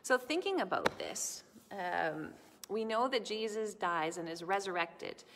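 A middle-aged woman giving a children's sermon in a large church sanctuary, speaking gently and explaining things to children. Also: medium pitch, animated, measured pace, noisy background. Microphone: camera in front, at close range. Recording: long church reverb.

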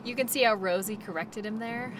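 A woman playing a cheerful, reassuring dog-sled musher in a naturalistic audio roleplay, chatting gently to her passenger. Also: fairly high pitch, fairly quick, noisy background, natural voice. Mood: calm, friendly. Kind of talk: audio roleplay, naturalistic second-person acting.

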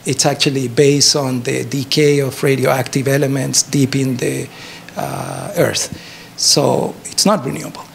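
A man's voice: medium pitch, unhurried, vocal fry. A middle-aged man with a Chilean accent and native Spanish, answering an audience question as a professor, emphatic and fond of rhetorical questions. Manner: animated explaining, short emphatic statements, rhetorical questions. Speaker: professor giving a talk. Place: university lecture theatre. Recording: noisy lecture hall recording.

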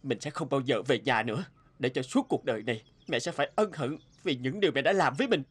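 An actor playing an emotional, hot-tempered young son in a cartoon, with dramatic delivery. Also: male voice, medium pitch, animated, quick pace. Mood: brooding. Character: hot-tempered, resentful young man, later remorseful son. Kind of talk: cartoon dubbing. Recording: clear music under the voice.

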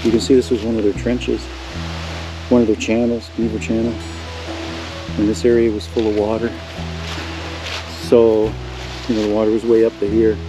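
An older man, a homesteader who casually narrates as he walks outdoors through woods and swamp, pointing things out in a relaxed, rambling way. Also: fairly low pitch, normal speed, pauses often, over music, poor audio. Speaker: homesteader vlogger.

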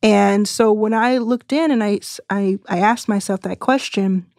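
A woman in her thirties speaking as a podcast guest, explaining her views in an earnest, measured way. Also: medium pitch, darkish tone, dry recording, studio quality. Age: 30s. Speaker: guest investor. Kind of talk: reflective podcast interview.

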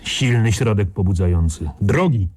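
Mellow, dark-voiced sardonic journalist. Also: male voice, on the low side, expressive, normal speed, breathy edge. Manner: dry, sarcastic. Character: sardonic, world-weary male drug expert journalist. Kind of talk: radio crime drama acting.

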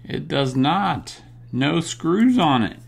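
A man's voice: fairly high pitch, lively intonation, takes it slowly, creaky, slightly rough. A middle-aged man talking casually and thinking aloud as he hosts a hands-on teardown video. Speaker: video host. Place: indoors at a tabletop workspace. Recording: noticeable background noise.

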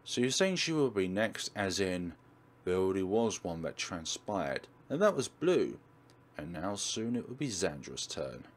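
A man's voice: low-pitched, very animated, unhurried. A man hosting a let's play, dramatically reading a visual novel aloud and commenting on it.

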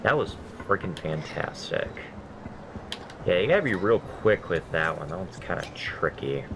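A man with a very deep, nasal voice talks through his gameplay in loose, rambling asides. He drifts between memories and grumbles at each failed jump. A steady hiss of noise sits under the recording. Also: normal speed. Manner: rambling, self-deprecating, swears at deaths. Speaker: gameplay commentator. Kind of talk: casual let's-play chat.

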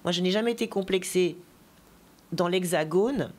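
A woman poet and teacher giving an interview, telling stories with many asides and then reading her poetry slowly and deliberately. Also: on the low side, darkish tone. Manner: digressive, chatty storytelling with asides; slow, deliberate poetry recitation.

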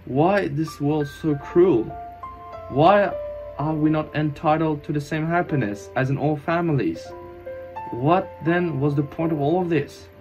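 A man acts out an anguished young swordsman in an anime fan drama. His voice sits fairly high and moves slowly, with halting, stilted English and heavy melodrama. Music runs underneath. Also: close to an everyday voice, rough recording. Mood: grieving. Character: anguished devoted young swordsman, husband and father.

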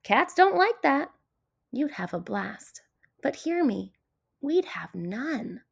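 A woman's voice: high voice, big pitch swings, slow, creaky, pitched above the performer's own voice, exaggerated voice. Woman reading as a finicky, swooping storybook cat. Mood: dramatic protest. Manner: emphatic, exclaiming, dramatic delivery. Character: finicky, bossy, indignant grey cat laying down rules. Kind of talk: children's picture book read-aloud.

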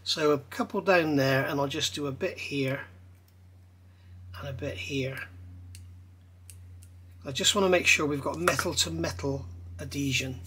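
A man's voice: a little high, unhurried, pauses often, slight background noise. A middle-aged man explaining a scale model build at his workbench, chatty and rambling as he works. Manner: relaxed, rambling, talks while working with his hands. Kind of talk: hands-on tutorial with chatty asides. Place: indoor hobby workbench. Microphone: overhead camera on workbench.

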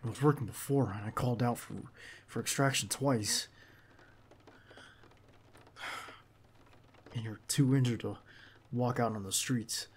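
A man acting a tense, loyal, protective bodyguard in an ASMR roleplay, speaking in a halting, earnest and reassuring way. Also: mid-range voice, slight background noise, lightly acted.

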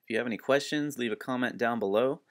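A man's voice: mid-range voice, fast talker, no room sound, clean studio sound. A man presenting a fishing channel, giving plain step-by-step instructions with frequent filler sounds. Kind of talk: instructional how-to narration. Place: outdoors on a home driveway.

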